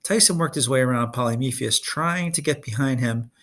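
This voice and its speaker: A man narrates a children's fantasy novel as a read-along. His voice sits at a middle pitch with plenty of gravelly fry. The delivery is expressive, in audiobook style. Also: brisk.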